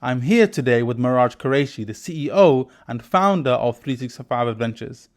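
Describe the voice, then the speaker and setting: A man's voice: medium pitch, expressive, normal speed. A man hosting a podcast, reading a sponsor message and then talking through his thoughts in conversation. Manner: reflective, thoughtful conversational delivery. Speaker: podcast host and musician. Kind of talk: podcast interview and sponsor read.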